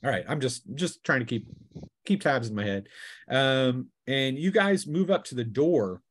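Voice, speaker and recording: Male game master, mid-pitched, explaining rules and describing scenes with a halting, improvised delivery. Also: lively intonation. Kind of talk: improvised tabletop game narration.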